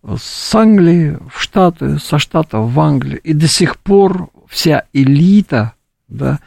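Gravelly, husky man giving political opinions. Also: on the high side, lively intonation, a little mellow, dry recording, clean studio sound. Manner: halting, peppers speech with appeals to the listener. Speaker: studio guest being interviewed. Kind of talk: live political interview, opinionated commentary. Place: radio studio.

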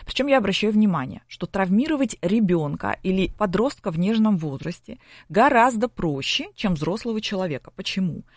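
A woman's voice: mid-range voice, expressive, brisk, dry recording, clean studio sound. A middle-aged woman explaining things as an expert guest in an interview, in a didactic way with rhetorical questions. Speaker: psychology expert guest on a broadcast.

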